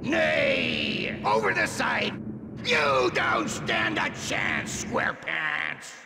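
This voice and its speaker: A man voicing a blustering, menacing, washed-up ghost pirate captain as a gravelly cartoon game villain. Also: mid-range voice, wildly swooping pitch, extremely slow, exaggerated voice. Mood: commanding fury. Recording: loud background noise.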